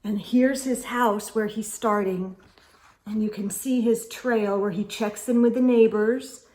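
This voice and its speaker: Slow-paced, higher-voiced woman reading to children. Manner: expressive, gasps and whispered asides. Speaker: children's read-aloud storyteller. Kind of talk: animated picture-book read-aloud with asides to children.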